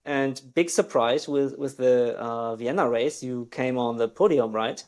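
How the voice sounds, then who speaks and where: A man's voice: mid-range voice, unhurried, a little mellow. A man hosting a podcast and interviewing a guest casually, hesitant and often restarting his sentences, with laughter between questions. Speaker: podcast host.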